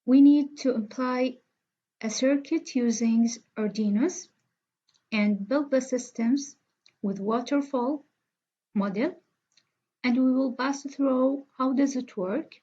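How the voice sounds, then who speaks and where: Husky, slow woman, dull recording. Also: sits high, mellow. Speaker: student project presenter. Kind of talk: scripted project introduction. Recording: dull, lo-fi microphone sound.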